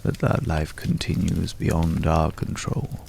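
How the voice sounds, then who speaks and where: A man acting a mysterious, courtly, world-weary man in an intimate, hushed ASMR roleplay, with florid, old-fashioned, pensive phrasing and a gravelly voice. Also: very deep voice, steady tone, takes it slowly, a little hiss or hum, exaggerated voice. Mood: wistful, pensive.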